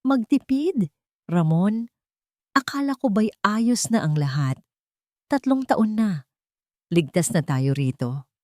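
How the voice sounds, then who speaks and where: A woman's voice: medium pitch, lively intonation, slow pace, pauses often, darkish tone, dry recording, studio quality, voice pushed up. A woman voicing a vain, pampered and demanding glamorous girlfriend in an audio drama. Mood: indignant.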